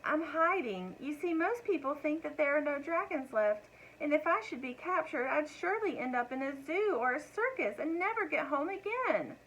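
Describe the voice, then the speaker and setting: A woman's voice: sits high, animated, normal speed, few pauses, fairly bright tone, a little hiss or hum, voice pushed up, poor audio. A woman voicing a friendly young male baby dragon who explains himself earnestly.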